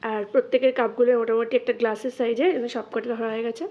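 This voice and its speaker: A young woman vlogger speaks in a West Bengal Bengali accent, addressing viewers in an easy conversational way. Her voice sits a little high and moves quickly. There is clear noise behind her. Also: fairly even intonation, dull audio. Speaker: daily vlogger. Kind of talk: casual vlog chat to viewers.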